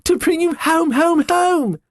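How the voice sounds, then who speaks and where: A man's voice: slow, no room sound, studio quality. A man voicing a gleeful little girl. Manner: bright, breathless, high-pitched chatter. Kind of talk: audiobook character voice.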